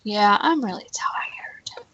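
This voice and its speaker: A woman's voice: medium pitch, big pitch swings, slow, fairly bright tone, husky, whispered, highs cut off. A woman co-hosting a live show in loose, casual conversation. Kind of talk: casual chat.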